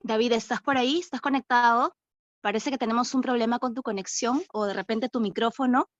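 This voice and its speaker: A woman speaks quickly in a fairly high voice as a webinar moderator. Her talk is casual and unscripted. It comes through dull, compressed call audio. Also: fairly even intonation, no room sound. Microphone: video call microphone.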